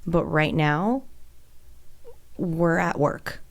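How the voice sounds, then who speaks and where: A woman's voice: low voice, extremely slow, creaky. An American woman in her thirties chatting casually as a podcast co-host, emphatic and playful.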